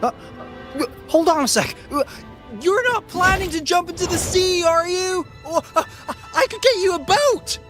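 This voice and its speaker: A woman's voice: very high-pitched, expressive, moderate pace. A woman voicing a flustered, earnest young game character who stammers. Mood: flustered, worried. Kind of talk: dramatic video game voice acting. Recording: music behind the voice.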